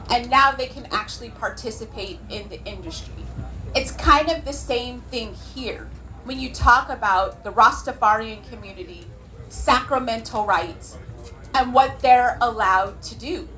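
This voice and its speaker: A woman from California with an American accent, speaking emphatically and deliberately as a panellist at a public event. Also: fairly high pitch, pushed and bright, slight background noise, rough recording. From California, United States.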